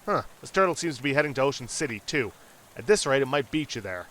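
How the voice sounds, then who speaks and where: A man's voice: mid-range voice, very animated, fast, a bit bright. A man hosting a let's play, casually and wryly commenting and reading game text aloud.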